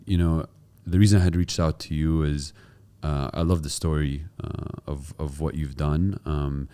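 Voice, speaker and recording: A man with an extremely low voice hosts an interview show. His tone is dark and relaxed, and he talks in a casual, conversational way as he puts questions and reflections to his guest. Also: moderate pace, clear, resonant tone. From born in the United States, raised in the UAE from 1992. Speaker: podcast host and interviewer.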